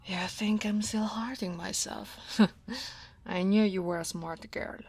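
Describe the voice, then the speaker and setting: A woman playing a breathy, guarded dragon coworker. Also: mid-range voice, fairly even intonation, takes it slowly, full character voice. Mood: wistful. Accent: Italian. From Italy. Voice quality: soft, often whispered delivery. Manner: wry, self-deprecating, with frequent sighs and soft laughs. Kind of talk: ASMR roleplay.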